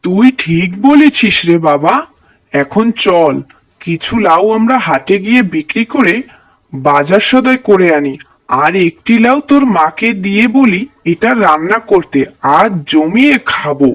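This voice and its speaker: A man's voice: high voice, sing-song, measured pace. A man voicing a poor, devout village farmer and father in a moral cartoon, earnest and plaintive. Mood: relieved, eager. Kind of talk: cartoon voice acting, moral tale.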